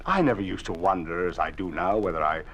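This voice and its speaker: An actor playing a tired, conciliatory husband in a 1951 radio drama who pleads for peace and stammers when upset. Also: male voice, low-pitched, fast, fairly bright tone, a little hiss or hum. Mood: wistful. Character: weary conciliatory husband Carl, avoids taking sides. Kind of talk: 1950s radio drama acting. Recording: dull lo-fi old radio broadcast.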